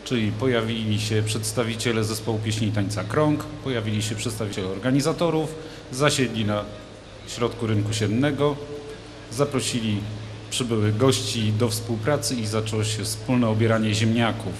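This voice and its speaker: A man's voice: lowish voice, moderate pace, noisy background. Hesitant man talking on a TV studio set. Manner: hesitant, many fillers and restarts. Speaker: studio guest reporting on a local event. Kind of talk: casual studio chat. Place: large TV studio with a glass roof. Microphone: handheld mic.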